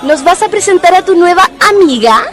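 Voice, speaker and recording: A woman's voice: extremely high pitch, animated, a little fast, a bit bright. A woman playing a young woman who schemes a prank and taunts a jilting suitor, in a radio drama. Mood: taunting. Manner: scheming, pointed. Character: young woman plotting revenge, sly and mocking. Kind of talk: community radio drama acting. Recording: radio drama with faint music.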